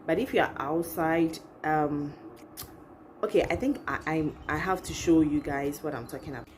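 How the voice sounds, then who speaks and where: A woman's voice: low voice, normal speed, a little hiss or hum. A young woman with a Ghanaian accent chats casually and expressively to her viewers as a channel host, recorded in a living room. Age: young adult. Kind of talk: casual chat to camera. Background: background music.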